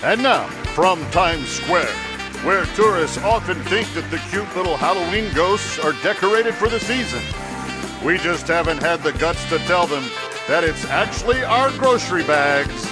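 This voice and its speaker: A man's voice: high voice, expressive, moderate pace. A man announcing a radio show in a scripted introduction. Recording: loud music bed under voice. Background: loud music.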